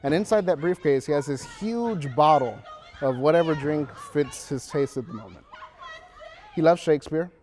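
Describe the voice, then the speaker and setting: A teenage male student actor speaking casually in an interview, haltingly and with repeated restarts. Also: a little high, expressive, a little mellow, slight background noise. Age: teens.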